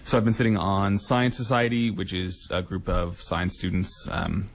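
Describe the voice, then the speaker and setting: A man's voice: low voice, little pitch movement, moderate pace, vocal fry. A young man, a student leader, answering interview questions earnestly with many ums. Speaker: student union director-elect, guest.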